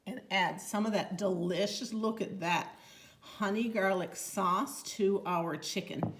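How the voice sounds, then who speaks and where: A woman with a Newfoundland accent, chatting upbeat through a home cooking demonstration in her kitchen. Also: mid-range voice. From Newfoundland, Canada. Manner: chatty, upbeat, enthusiastic about flavor. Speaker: cooking product consultant.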